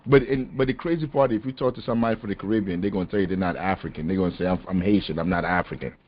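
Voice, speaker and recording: A man hosting a podcast, talking casually and with animated emphasis. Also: low voice, racing. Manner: animated, conversational, rising to exasperated emphasis. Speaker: podcast host. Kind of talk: casual discussion on a call-in podcast. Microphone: phone or conference call. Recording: dull lo-fi call audio.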